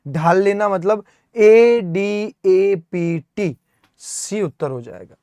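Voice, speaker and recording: A man's voice: high voice, extremely slow, warm tone, no echo. A man with an Indian accent teaching English vocabulary for an exam, spelling words out letter by letter and often checking in with "है ना?". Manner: explains step by step, spells words letter by letter, keeps asking "है ना?". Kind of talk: exam-prep vocabulary lesson.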